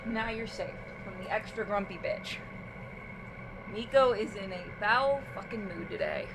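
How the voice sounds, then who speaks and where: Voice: medium pitch, moderate pace, background noise. A gamer chatting casually while playing, with a blunt, sweary way of talking. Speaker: gamer, co-player. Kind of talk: casual co-op gameplay chat.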